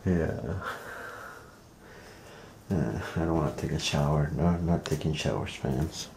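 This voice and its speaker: A young man vlogging as he explores an abandoned house, talking excitedly to his viewers, recorded on a handheld camera. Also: extremely low pitch, slow pace, creaky, pauses often, slight background noise. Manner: excited, addresses viewers as fams. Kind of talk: casual exploring vlog. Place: outdoors and inside an abandoned rural house. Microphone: handheld camera at arm's length.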